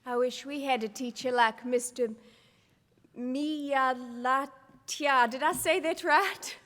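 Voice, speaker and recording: A woman's voice: high voice, slow pace, fairly bright tone. An actress playing a spirited, admiring schoolgirl in stage drama dialogue. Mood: admiring, curious. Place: large ceremony hall stage. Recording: reverberant hall.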